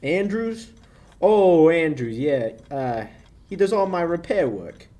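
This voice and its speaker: A young man acts out a slick, menacing male crook in 1940s radio style. His fairly high voice swings widely as he sneers and wisecracks his way through the lines. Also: big pitch swings, slow, mellow. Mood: wary, threatening. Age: young adult. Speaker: high school actor. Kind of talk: 1940s radio play acting.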